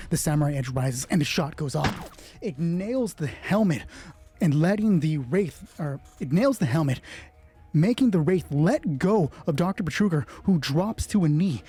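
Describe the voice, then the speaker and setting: A man with a husky voice narrating a solo tabletop roleplay as game master, casually thinking aloud and swearing freely as he reads out dice results. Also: a little high, warm tone.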